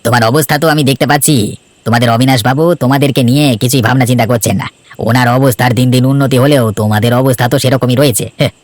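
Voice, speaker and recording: A man's voice: mid-range voice, fairly even intonation, rapid-fire, no room sound, natural voice. A man voicing a scheming, persuasive trader, the villain of a cartoon folk tale. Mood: insinuating. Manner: sly, coaxing, self-assured. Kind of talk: Bengali cartoon fairy-tale dialogue.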